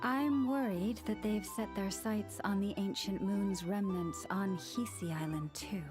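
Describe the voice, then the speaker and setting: Serious female adventurer woman actor for a video game, concerned tone, medium pitch, music clearly underneath. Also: measured pace, close to an everyday voice. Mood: serious, worried.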